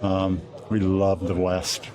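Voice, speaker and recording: American male pilot, interviewee, low-pitched, conversational and halting, recorded amid clear crowd chatter. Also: monotone. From Alaska, USA. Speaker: competition glider pilot being interviewed. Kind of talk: casual interview, recounting a flight.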